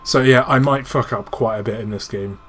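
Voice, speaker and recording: A man's voice: mid-range voice, a little fast, a bit bright, a little hiss or hum. A man giving casual, informal gaming commentary as a let's play host, swearing freely and explaining technical details.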